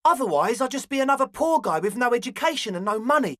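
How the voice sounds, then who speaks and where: A man's voice: extremely high pitch, very animated, fast talker, a bit bright, no room sound, clean studio sound, heavily acted. A male actor playing an eager, earnest young raver in a video game, mixing slacker slang with grandiose, slightly pompous phrasing. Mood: defensive. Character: balding twenty-year-old raver dreamer, eager, earnest, slightly pompous. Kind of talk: video game character dialogue.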